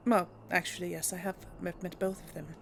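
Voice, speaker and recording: A woman's voice: lowish voice, flat delivery, a little fast, creaky, a little hiss or hum. A woman voicing a young noble woman warrior in a role-play, speaking politely and a little hesitantly.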